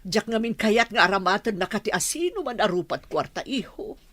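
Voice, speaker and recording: Radio drama: shrewd rich Filipino matriarch, husky, very close dry sound. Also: female voice, medium pitch, very animated, a little fast, a bit bright, no echo. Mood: urgent, insistent. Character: wealthy, shrewd, protective mother of a grown son.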